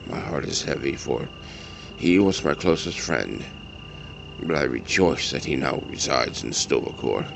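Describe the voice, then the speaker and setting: An actor playing an old, honorable, grieving Klingon warrior in an audio drama, speaking in a solemn, weighty, halting way. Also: male voice, extremely low pitch, expressive, gravelly, background noise, full character voice. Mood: heavy, proud.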